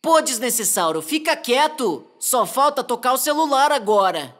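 An actor voicing a mild-mannered, easily exasperated cartoon dinosaur in Brazilian Portuguese, with an expressive, plaintive delivery. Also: high voice, moderate pace. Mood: exasperated, pleading. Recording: music behind the voice.

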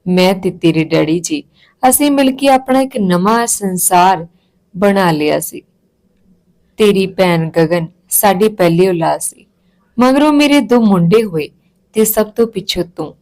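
A woman's voice: lowish voice, darkish tone, low-quality recording. A woman voicing a loving, emotional mother who speaks tenderly and earnestly to her daughter in a family story. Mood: helpless, wistful. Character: tearful, loving Punjabi mother explaining herself to daughter.

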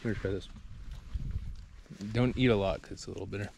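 Excited man vlogging on a hike. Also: low-pitched, quick pace, creaky, a little hiss or hum. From Hawaii. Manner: enthusiastic, exclaims often, laughs. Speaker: vlog host. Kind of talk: casual on-camera vlog narration. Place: outdoors on a mountain hike.

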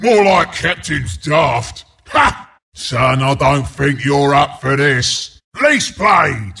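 Shouting, raspy British porcupine brute. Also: male voice, a little high, lively intonation, takes it slowly, full character voice. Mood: scornful, gruff. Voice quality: gravelly, raspy. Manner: taunting, rowdy, blunt, mock-sympathetic asides. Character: mean, cocky, brawling porcupine beast announcer. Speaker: game announcer. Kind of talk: video game announcer barks.

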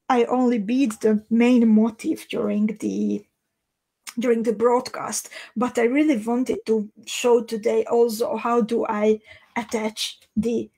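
A woman in her thirties with a Hungarian accent, a native Hungarian speaker, chatting casually and haltingly as she hosts a livestream. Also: a little high, warm tone. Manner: halting, thinking aloud. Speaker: host of a live beading tutorial. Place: small indoor craft room.

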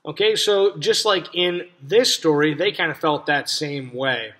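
Higher-voiced young American man, teacherly. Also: measured pace, a bit bright. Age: young adult. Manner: questioning, simple explanatory way of talking. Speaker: read-aloud teacher and host. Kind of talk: casual talk to young children about a story. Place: small cluttered room indoors.

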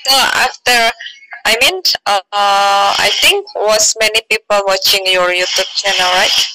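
A woman's voice: mid-range voice, measured pace, sharp tone, dry recording, rough recording. A woman from Indonesia with an Indonesian accent, chatting on a video call in hesitant learner English with fillers. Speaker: guest. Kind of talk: casual video call chat.

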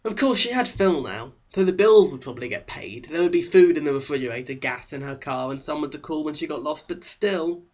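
Sarcastic man reading, higher-pitched. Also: animated, fairly quick, hardly any pauses. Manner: sarcastic, melodramatic. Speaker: audiobook reader. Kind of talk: sarcastic scripted narration. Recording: dull, lo-fi sound.

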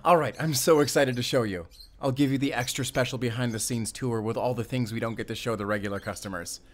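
Audio drama role, male actor: excitable young male ranger, American accent, chatty and friendly. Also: medium pitch, fast, close to an everyday voice. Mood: cheerful, eager. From Arizona, US. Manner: talkative, eager, rambling, with small chuckles. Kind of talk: roleplay audio drama addressed to the listener.

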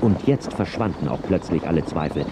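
A very low, expressive storyteller recounts a Western adventure in a radio play. His delivery moves freely up and down, with outdoor noise clearly behind him. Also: brisk. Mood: suspenseful. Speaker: narrator. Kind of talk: scripted first-person radio play narration. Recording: noisy outdoor sound-effect backdrop.

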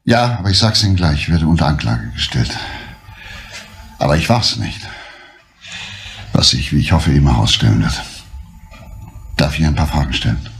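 An actor playing a grave, controlled middle-aged detective under suspicion, speaking in a measured, deliberate way in a crime drama. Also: male voice, a bass voice, sing-song, exaggerated voice. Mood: grave, insistent. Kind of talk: restrained crime drama dialogue.

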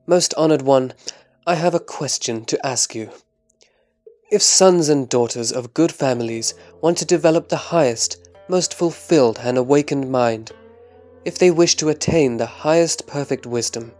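Humble male monk disciple, acted by a man, medium pitch, reverent and formal delivery for a religious audio drama. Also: a little mellow, lightly acted. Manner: respectful, formal, earnest. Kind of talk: scripted sutra audio drama.

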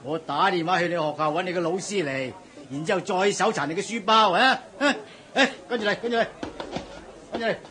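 An actor playing a loud, bossy middle-aged supermarket manager with a husky voice in a radio drama. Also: male voice, sits high, a little fast, a little hiss or hum. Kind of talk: 1980s radio drama acting.

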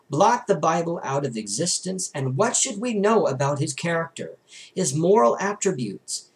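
A young adult man reads a religious book aloud in a formal, deliberate, rhetorical way. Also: a little high. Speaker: book reader. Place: small bedroom, indoors.

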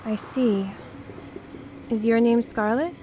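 An actress playing a kind, wry spirit woman, Death's emissary, in a radio play, gentle and understated. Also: a little high, takes it slowly, a little hiss or hum. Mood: gentle, reassuring.

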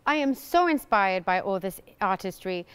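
A woman's voice: medium pitch, expressive, moderate pace, over music. A woman in her thirties presenting an awards show from a script, as host. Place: large empty theatre stage.

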